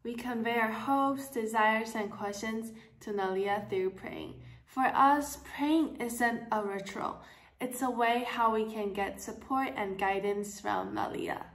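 A woman's voice: fairly high pitch, slow, distant mic, soft background music. Teenage girl from Taiwan in an echoey room. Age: teens. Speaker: student presenter. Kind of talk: school project presentation.

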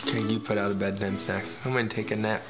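A man's voice: fairly low pitch, flat delivery, fast. A man in his thirties bantering casually as co-host of a children's storytime. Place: living room, on a couch. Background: background music.

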